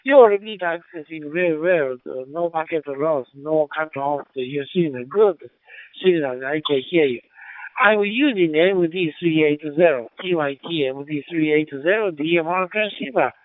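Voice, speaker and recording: A man with a Japanese accent speaking halting, polite English as an amateur radio operator over a digital two-way radio, often repeating himself. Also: fairly high pitch, no echo. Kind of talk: formal radio contact exchange. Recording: tinny narrowband digital radio transmission.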